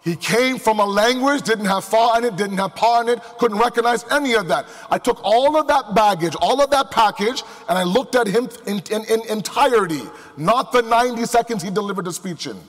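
High-voiced male preacher in a reverberant hall. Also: fairly quick, hardly any pauses, fairly bright tone. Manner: emphatic, with rhetorical questions and repetition. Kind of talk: sermon to a live congregation. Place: large indoor hall before a crowd. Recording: echoey hall with long reverb.

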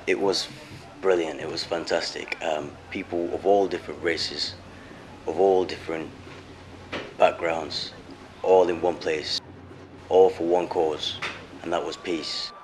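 A young English man giving an earnest documentary interview, often pausing with ums as he recounts events. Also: deep voice, steady tone, slight background noise, rough recording. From England. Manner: earnest, pauses with frequent ums. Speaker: interviewee, former protester. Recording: music bed underneath.

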